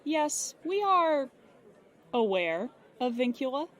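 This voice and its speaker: A woman acting a polite, unflappable customer service agent in an audio drama, formal and measured. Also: sits high, sing-song, exaggerated voice. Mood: guarded.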